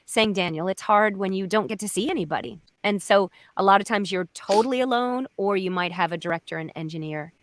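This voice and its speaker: A woman's voice: mid-range voice, a little fast, few pauses. A woman chatting casually as a voice actor on a panel. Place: home, on a video call.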